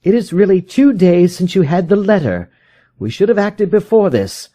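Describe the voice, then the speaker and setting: A man's voice: high voice, big pitch swings, normal speed, dark tone, voice pushed up. A man voicing an impatient, decisive male detective in an audiobook, with emphatic, formal Victorian diction. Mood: urgent, commanding. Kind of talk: audiobook character voice in a detective story.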